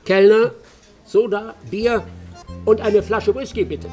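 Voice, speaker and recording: An actor in a German radio play voicing a stern, self-assured English master detective, terse and dry, with a gravelly, husky voice. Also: male voice, high voice, fairly even intonation, slow, over music. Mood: commanding.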